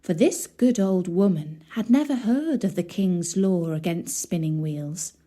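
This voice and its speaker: Slow, mellow woman storyteller. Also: fairly low pitch. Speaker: storyteller, audiobook narrator. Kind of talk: scripted fairy tale narration for children.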